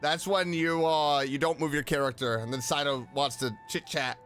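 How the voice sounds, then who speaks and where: A man hosting a casual reaction video as a game fan, talking in an enthusiastic, expressive way. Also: a little high, normal speed. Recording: music behind.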